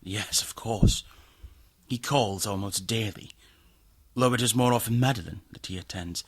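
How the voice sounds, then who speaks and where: An actor playing an ailing, nervous aristocrat in a radio drama, theatrical and overwrought, swinging between rapture and gloom. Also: male voice, on the low side, measured pace, slightly rough. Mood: brooding. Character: ailing, nervous, morbidly sensitive aristocrat, given to frenzy.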